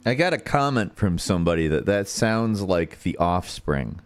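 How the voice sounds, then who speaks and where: A man with a deep radio-style voice and an American accent, hosting a casual livestream and thinking out loud as he searches for words. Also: lively intonation, moderate pace, smooth, soft background music. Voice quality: turns nasal when singing high.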